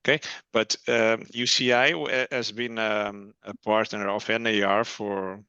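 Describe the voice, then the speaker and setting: A man with a Portuguese accent gives an informal panel talk in careful, halting phrases. His voice is clear and forward with little melodic movement, on a dry but slightly dull connection. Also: lowish voice, little pitch movement, pushed and bright, no room sound. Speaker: marketing and brand manager, panelist. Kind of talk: informal webinar presentation. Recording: slightly dull, lo-fi call audio.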